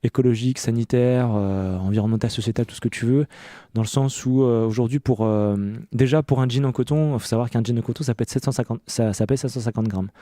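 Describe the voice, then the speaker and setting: A man in his early thirties from France, an interview guest telling personal stories conversationally in a hesitant, halting way that often doubles back on words. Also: mid-range voice, fairly even intonation, warm tone, no echo, studio quality. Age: early 30s. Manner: hesitant, halting delivery with many self-repetitions. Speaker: interview guest, clothing maker.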